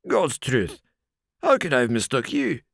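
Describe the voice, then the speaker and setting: A man doing a gruff burly ex-boxer doorkeeper. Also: mid-range voice, huge pitch swings, measured pace, fairly bright tone, no room sound, clean studio sound, voice pushed up, heavily acted. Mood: hearty. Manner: gruff and blunt, rough plain speech.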